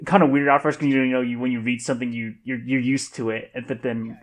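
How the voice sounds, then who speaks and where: A man speaks in an informal, conversational manner as a podcast co-host. His voice has an ordinary, middle pitch. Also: little pitch movement, quick pace. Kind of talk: casual chat.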